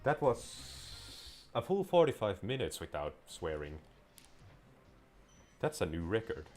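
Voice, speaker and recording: A man's voice: on the low side, sing-song, takes it slowly, darkish tone. A man whose first language is Finnish, rambling casually while commenting on a game he is playing, drifting into tangents. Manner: rambling, wanders into tangents and restarts sentences. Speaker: gameplay commentator. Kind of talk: casual let's-play commentary.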